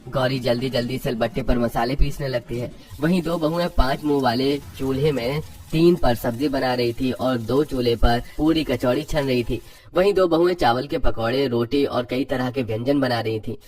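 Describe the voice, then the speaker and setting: A man's voice: mid-range voice, normal speed, darkish tone, far from the mic. A man narrates a scripted story in a mid-range voice. He sounds set slightly back from the microphone, telling the tale as its narrator.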